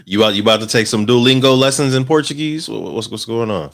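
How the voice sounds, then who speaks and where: A man who is a guest educator, asking questions and listening with steady affirmations. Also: mid-range voice, quick pace, gravelly. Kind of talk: casual interview talk.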